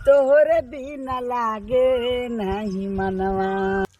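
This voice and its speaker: Woman, Bhojpuri accent, extremely slow, fairly high, plaintive, noisy clicking recording. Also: steady tone, warm tone, dull audio. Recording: clearly noisy clip with clicks.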